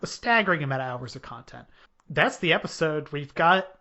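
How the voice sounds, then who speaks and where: Man, podcast host, rambling, joking, hesitant, slightly high voice, muffled lo-fi sound. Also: animated, brisk, bright, forceful tone. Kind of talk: casual group chat. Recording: dull lo-fi podcast audio.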